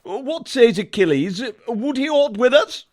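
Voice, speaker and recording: Stammering military commander, high voice that swoops widely, slow and halting, for classical audio drama. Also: male voice, lively intonation, darkish tone. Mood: aloof. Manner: halting, throat-clearing, stammering. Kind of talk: Shakespearean audio drama acting.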